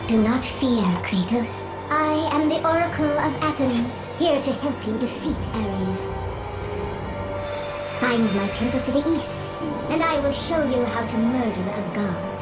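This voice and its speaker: A woman's voice: on the high side, animated, unhurried, loud music behind the voice, low-quality recording. A woman playing a stern, urgent temple oracle in a video game, speaking in a dramatic, portentous way. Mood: solemn, reassuring.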